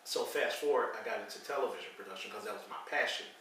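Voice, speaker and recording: A man's voice: on the low side, racing, bright, forceful tone, slight background noise. A middle-aged man from St. Louis with an American accent, hosting a casual chat with lots of fillers as he tells stories. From St. Louis, Missouri, USA. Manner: conversational storytelling, frequent fillers. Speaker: channel host. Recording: echoey room, mic at a distance.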